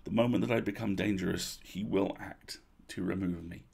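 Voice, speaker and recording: A man voicing a weary, regretful old duchess who speaks in formal, measured phrases for a storybook reading. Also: low voice, fairly even intonation, creaky, a little mellow, breathy edge, heavily acted. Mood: resigned.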